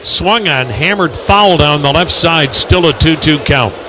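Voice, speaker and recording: Higher-voiced American baseball radio announcer. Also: male voice, a little slow, music in the background. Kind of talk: live commentary with conversational asides. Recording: clearly noisy ballpark broadcast.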